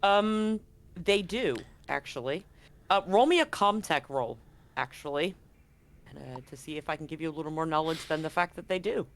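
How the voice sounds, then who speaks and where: A man's voice: fairly high pitch, lively intonation, moderate pace, fairly bright tone. A man acting as game master, narrating and chatting in an easygoing, informal way during a live tabletop game.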